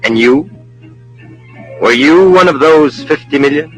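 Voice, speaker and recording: A man's voice: a little high, measured pace, loud music behind the voice, low-quality recording. A man reading scripted teasers and credits as an old-time radio drama announcer, formal, dramatic and emphatic. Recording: old radio broadcast recording.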